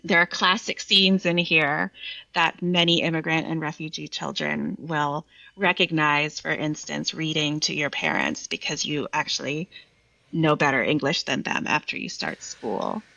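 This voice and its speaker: A woman speaks in a lowish voice with a bright, forward edge and noticeable creak. She is reflective and articulate, explaining poetry as a guest in conversation. The recording is a little dull and lo-fi. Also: a little slow, no room sound. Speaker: podcast guest, poet and literary scholar. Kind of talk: reflective literary conversation with some poem reading.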